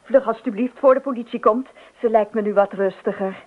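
A woman's voice: fairly high pitch, fairly even intonation, a little fast, close to an everyday voice. An actress playing a concerned, practical woman neighbour in a radio play. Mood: worried, insistent. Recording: muffled phone-like old radio recording.

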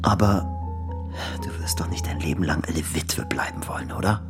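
A man's voice: low-pitched, normal speed, in a whisper, over music, natural voice. An actor playing a lovesick, insistent man in a German radio play, speaking in a hesitant, pleading way. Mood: wounded, urgent.

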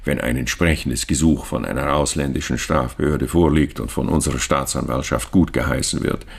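Very deep, gravelly, obsequious banker. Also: male voice, a little fast, full character voice. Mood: smooth, discreet. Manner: hesitant, formal, fawningly polite. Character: discreet, obsequious male Swiss banker, courteous and evasive. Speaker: bank official. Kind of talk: radio crime drama dialogue.

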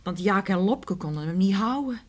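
Woman acting a husky, sorrowful village neighbour. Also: mid-range voice, animated, normal speed, darkish tone, close to an everyday voice. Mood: grave, concerned. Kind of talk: radio drama acting.

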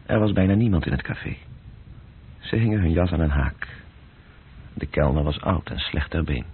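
A man narrating a literary radio drama in a measured, descriptive way, with a deep, gravelly voice. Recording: muffled narrow-band radio play recording.

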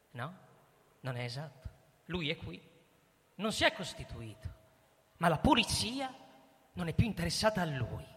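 A man's voice: high-pitched, sharp tone, full character voice. A male actor playing a pompous, scheming army general in a radio drama, formal and emphatic like an orator. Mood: declaiming. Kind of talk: theatrical radio play acting. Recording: long hall reverb, stage performance.

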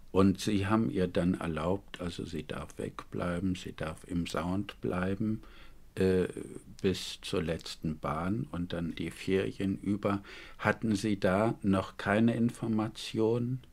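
A man conducting a documentary radio interview, putting his questions attentively and deliberately in careful, measured pieces. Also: sits low, creaky.

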